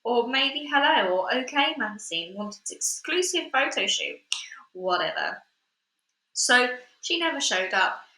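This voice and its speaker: Bright-voiced woman reading a storybook. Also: on the high side, a little slow. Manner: chatty and expressive, with fillers in asides. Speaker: storybook reader. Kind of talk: reading a children's book aloud. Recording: echoey room.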